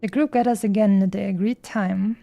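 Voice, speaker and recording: A woman's voice: mid-range voice, steady tone, fairly quick, gravelly, mellow, no room sound. A woman game streamer chatting casually in a rambling way with playful, cutesy wording. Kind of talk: casual livestream chat over gameplay. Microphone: streaming microphone. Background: faint game music.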